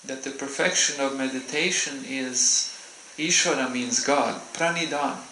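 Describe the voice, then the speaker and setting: Gravelly, bright-toned man preaching in a hall. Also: mid-range voice, a little hiss or hum. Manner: gentle, unhurried, with frequent hesitations. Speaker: visiting swami giving a temple talk. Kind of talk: devotional talk with audience questions. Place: temple hall. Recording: roomy hall echo.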